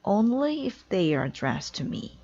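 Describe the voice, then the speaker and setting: A woman's voice: medium pitch, big pitch swings, moderate pace, warm tone, highs cut off, voice pushed up. A woman reading a children's storybook, voicing a cheerful, literal-minded, scatterbrained housekeeper in an earnest, exclaiming way. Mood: innocent, chirpy. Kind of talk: children's storybook read-aloud.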